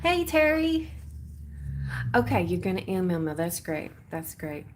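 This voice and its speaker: A woman's voice: on the low side, normal speed, music in the background. A woman putting on a Texas accent, chatting casually as she hosts a live tarot reading, playful and rambling as she thinks aloud. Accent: put-on Texas. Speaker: tarot reader hosting a livestream.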